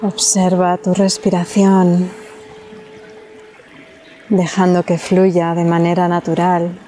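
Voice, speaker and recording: A woman from leads a guided meditation in a calm, soothing way, leaving long pauses between phrases. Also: lowish voice, soft background music.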